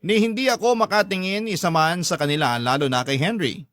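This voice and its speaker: A man's voice: high-pitched, brisk, fairly bright tone, no echo, studio quality. A man narrating a dramatic first-person story in a confiding, earnest manner.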